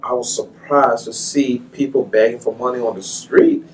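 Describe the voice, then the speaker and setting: A man's voice: medium pitch, steady tone, moderate pace, recorded from a distance, poor audio. A man from Liberia, a guest chatting casually and agreeably in a studio interview. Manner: agreeable, frequent short affirmations. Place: small TV studio.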